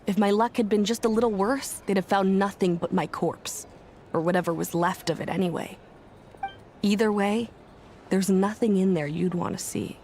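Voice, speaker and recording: A woman's voice: medium pitch, normal speed, dark tone, a little hiss or hum, close to an everyday voice. A woman voicing a breezy adventurer in a video game, casual and dramatic like someone telling a tale. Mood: wry, dramatic. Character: breezy woman adventurer spinning grim survival tales.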